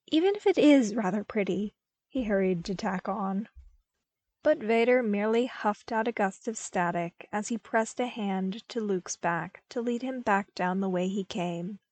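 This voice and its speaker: A woman reading scripted story narration as an audiobook narrator, with careful, expressive delivery. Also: medium pitch, normal speed, no echo. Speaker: podfic narrator.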